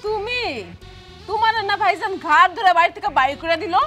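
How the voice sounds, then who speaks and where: A woman's voice: extremely high pitch, a little fast, fairly bright tone, faint music behind. A woman acting a demanding, quarrelsome married daughter in a family drama, with a Bangladeshi accent. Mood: bossy. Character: bossy, indignant married daughter visiting her family home.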